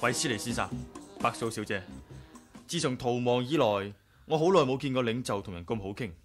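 An actor with a Hong Kong Cantonese accent playing a loyal, cautious aide, earnest and courteous, in a 1980s radio drama. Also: male voice, mid-range voice, brisk, over music, lightly acted. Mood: devoted, earnest. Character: loyal, cautious male aide, devoted to his leader.

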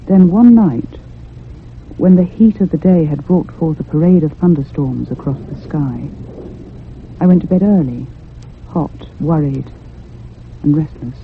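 A woman's voice: deep voice, unhurried, a little hiss or hum. A woman playing a troubled, earnest heroine in a radio horror drama, with intense, literary delivery. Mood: tense, brooding. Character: troubled, earnest woman haunted by a child ghost. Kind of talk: first-person dramatic radio horror narration. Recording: muffled, phone-like old radio recording.

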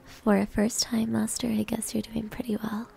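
Woman acting a breathy, creaky-voiced tsundere maid. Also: a little high, level tone, moderate pace, warm tone, faint music behind, exaggerated voice. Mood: grudgingly pleased. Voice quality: breathy, often whispering. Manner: coy, grudging, flustered. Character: proud, flustered tsundere maid with hidden soft side. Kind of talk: ASMR roleplay acting.

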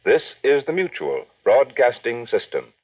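A man's voice: mid-range voice, big pitch swings, measured pace, no echo, distant mic, narrow, tinny audio. A man announcing scripted credits in a 1940s radio style, on a vintage broadcast recording.

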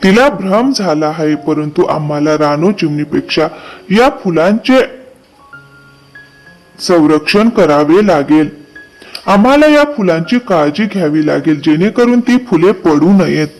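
A man's voice: fairly high pitch, expressive, a little slow, faint music behind, low-quality recording. A man voicing a worried, loyal male crow in a children's cartoon, speaking in an earnest, concerned way. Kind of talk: children's cartoon story dialogue.

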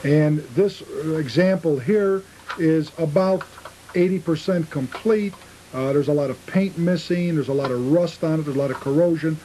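A man's voice: on the high side, moderate pace, mellow, slight background noise, rough recording. A man speaking as an interview guest, casually explaining his restoration craft on an old cable television tape. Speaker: guest, model train restorer.

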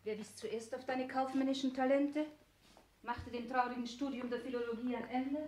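An actress in a 1960s German radio play, voicing a shrewd, wounded mistress and mentor with pointed delivery. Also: female voice, sits high, fairly even intonation, moderate pace, darkish tone, a little hiss or hum, lightly acted. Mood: reproachful. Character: shrewd, wounded, worldly female lover and mentor.

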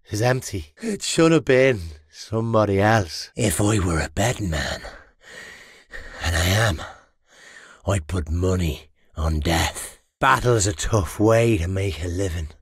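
A man's voice: on the low side, slightly rough, no echo, studio quality, exaggerated voice. A man with an Irish accent playing a brash, money-minded medieval mercenary in a video game, roguish and theatrical. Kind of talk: game combat barks.

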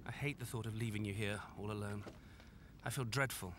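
A man playing a tender, sorrowful father in a video game cutscene, speaking gently and solemnly. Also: mid-range voice, lively intonation, brisk, slight background noise, close to an everyday voice. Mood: grave, regretful.

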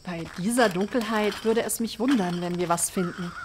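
A woman's voice: medium pitch, normal speed, background noise, natural voice. A woman playing a tough, loyal sheriff's deputy in a horror audio drama, feisty and direct. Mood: matter-of-fact.

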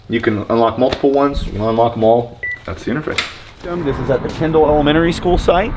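A man in his thirties giving an informal, matter-of-fact, step-by-step walkthrough as an installing contractor. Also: medium pitch. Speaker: installing contractor demonstrating equipment. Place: building entrance, lobby and front office. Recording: noisy on-site recording with clicks.

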